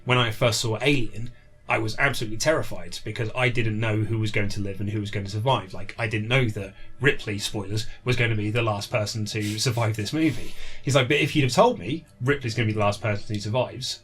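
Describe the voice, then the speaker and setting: A man's voice: lowish voice, steady tone, fairly quick, fairly bright tone. A man chatting casually as an interview guest, telling anecdotes and acting out remembered bits of dialogue. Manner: chatty, acts out remembered dialogue in his stories.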